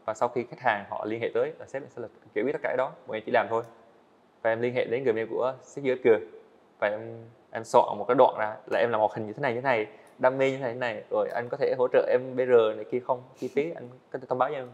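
An earnest eighteen-year-old man tells his story to a podcast host. He talks quickly at a mid pitch, and the sound is a little dull. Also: steady tone, darkish tone. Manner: earnest, storytelling, answers politely with "dạ vâng". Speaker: guest, animator. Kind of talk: podcast interview. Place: indoor studio set.

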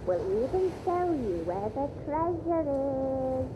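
A shrill, shape-shifting spirit acted by a woman in an audio drama, demanding and insistent. The pitch is extremely high and swoops about, under heavy noise. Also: big pitch swings, slow, muffled recording, exaggerated voice.

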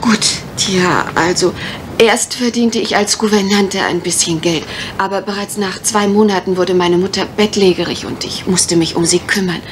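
An actress in a mystery radio play as an anxious, well-bred young parson's daughter, speaking politely and hesitantly in careful detail. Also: female voice, medium pitch, moderate pace, close to an everyday voice. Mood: resigned, recounting. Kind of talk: 1980s German mystery radio play acting. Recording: faintly noisy old radio recording.

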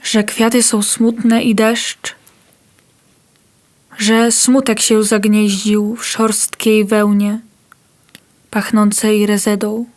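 A woman reciting a poem in a slow, even, solemn reading. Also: mid-range voice. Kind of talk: poetry recitation.

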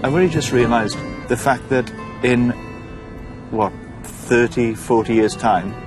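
A man's voice: mid-range voice, a little slow, music in the background. A man in his thirties from Wales presenting a documentary in a conversational, reflective way. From Port Talbot, Wales. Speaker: presenter and stand-up comedian.

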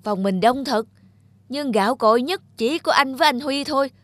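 Casting: a chatty office colleague for an animated series, casual teasing delivery. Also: male voice, extremely high pitch, moderate pace, dry recording. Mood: gossipy, flattering. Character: chatty male office worker gossiping with colleagues. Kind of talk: animated drama dubbing.

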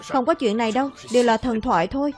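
A worried, protective mother in a cartoon dub, played by a woman. Her voice is high, fretful and admonishing, with music clearly playing behind it. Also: quick pace, lightly acted. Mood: dismissive.

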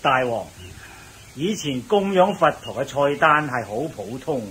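A man's voice: mid-range voice, sing-song, measured pace, pushed and bright, rough recording. A man with a Hong Kong Cantonese accent playing an obedient palace servant in a radio drama, formal and respectful. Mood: deferential. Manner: formal, deferential. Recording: clear background noise.

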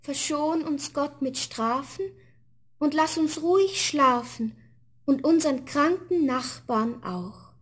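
Young heroine for a fairy-tale record: very high voice, sweet, earnest, well-mannered. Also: female voice, slow. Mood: prayerful. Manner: polite, tender, earnest. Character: sweet, dutiful, polite young girl. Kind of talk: 1970s German fairy-tale radio play.

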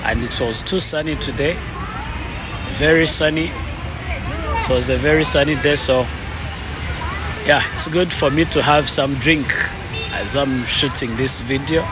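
A man's voice: medium pitch, a little slow, long pauses, music in the background, crowd noise. A young man from Rwanda, chatting casually as a vlogger while filming himself on a phone in city streets. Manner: relaxed, chatty, mixes English with simple Mandarin. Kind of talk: casual vlog narration and street chat. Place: city streets, a drink shop and a plaza at night. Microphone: phone at arm's length.

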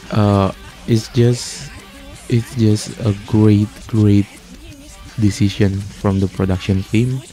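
A man hosting a countdown review as a voice-over, speaking haltingly and stretching key words. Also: on the low side, dark tone. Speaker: host of an anime music ranking channel. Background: music playing under the voice.

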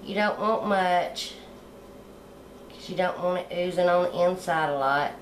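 A middle-aged woman hosting a cooking channel, explaining step by step how to decorate a sugar egg at home in a relaxed, homey way. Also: on the low side, unhurried, reverberant, slight background noise, rough recording. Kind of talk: hands-on decorating tutorial.

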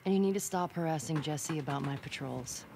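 Brief: guarded, wounded young American woman in a game drama, lowish voice, blunt delivery, faint background noise. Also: fairly quick, creaky. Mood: guarded, tense. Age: young adult. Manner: terse, blunt. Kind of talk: naturalistic video game acting.

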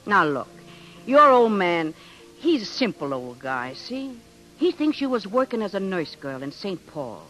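An actress playing a rough but good-hearted older woman, slangy and brash, in a 1930s radio drama. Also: mid-range voice, very animated, a little slow, fairly bright tone, soft background music, rough recording. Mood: coaxing. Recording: vintage 1930s radio broadcast.

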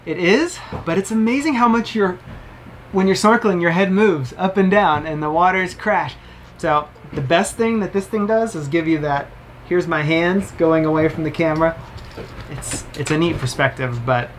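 A man's voice: a little high, moderate pace, a bit bright, a little hiss or hum. Upbeat man chatting through a gear review. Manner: chatty, upbeat, explains while handling gear. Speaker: vlogger reviewing camera gear. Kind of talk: casual gear review to camera. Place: cabin of a sailboat, indoors.

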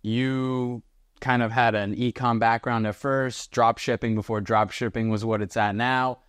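Young miami guy hosting a podcast, low even voice, dry close mic. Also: unhurried, clean tone, dry recording. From Miami, USA. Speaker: podcast host. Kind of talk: podcast intro and interviewing. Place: small room with armchairs, indoors. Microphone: lapel.

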